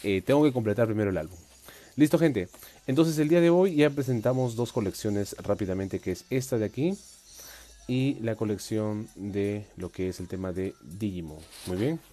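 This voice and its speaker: A man's voice: lowish voice, normal speed, warm tone. Upbeat man hosting a live unboxing. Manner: upbeat, chatty, reads viewer comments aloud. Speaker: collector livestream host. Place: indoors at a table.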